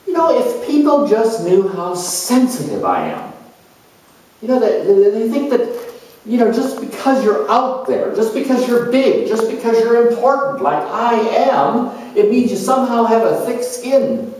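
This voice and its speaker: A man acting out a vain, self-important company boss in a solo stage dramatization, theatrical and grandiose with dramatic pauses. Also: extremely high pitch, sing-song, darkish tone, a little hiss or hum, voice pushed up, full character voice. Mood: wounded, defensive. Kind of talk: solo astrological character dramatization. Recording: echoey room, distant microphone.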